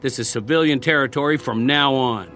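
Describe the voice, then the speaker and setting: An actor playing a weary, wry American ex-soldier, plain-spoken and coaxing, in a radio drama. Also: male voice, medium pitch, brisk, pushed and bright, faint music behind, natural voice. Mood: wry, firm. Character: weary, wry male ex-soldier surviving after war.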